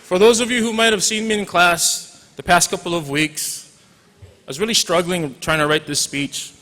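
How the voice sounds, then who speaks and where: A man's voice: high-pitched, steady tone, normal speed, sharp tone. Hawaiian man with a joking speech. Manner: joking, informal, with Hawaiian Pidgin asides. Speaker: teacher and class advisor giving a graduation speech. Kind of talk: commencement speech. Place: large hall. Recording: reverberant hall audio.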